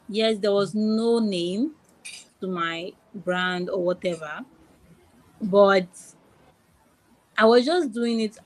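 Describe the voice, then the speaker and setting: Young Nigerian woman, halting and repetitive. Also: mid-range voice, poor audio. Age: young adult. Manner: repeats phrases, many fillers and restarts. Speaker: guest. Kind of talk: podcast interview.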